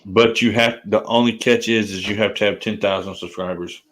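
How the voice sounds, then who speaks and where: A man with a lowish voice hosts a casual livestream, easygoing and agreeable. He chuckles along and chats about his channel. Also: steady tone, fairly quick, a bit bright. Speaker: livestream host. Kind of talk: casual video chat.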